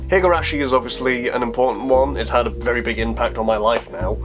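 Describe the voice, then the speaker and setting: A man's voice: mid-range voice, steady tone, quick pace, music in the background. A man in his twenties chatting casually to camera as he answers questions, with a wry, self-deprecating manner. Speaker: YouTube host answering viewer questions.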